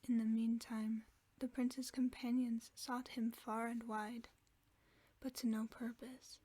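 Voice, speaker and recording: A woman reading a fairy tale aloud for sleep in a soft, steady whisper. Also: on the high side, slow, breathy edge, no room sound. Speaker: ASMR storyteller.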